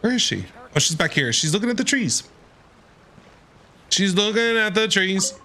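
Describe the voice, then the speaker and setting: A woman's voice: medium pitch, sing-song, moderate pace, bright, forceful tone. A woman game streamer giving casual live commentary, playfully talking back to the characters. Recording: game music behind the voice.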